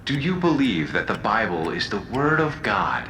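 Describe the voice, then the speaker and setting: An actor playing a mocking, menacing male prisoner in a radio drama, theatrical and softly condescending. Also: on the low side, big pitch swings, moderate pace, a little hiss or hum, exaggerated voice.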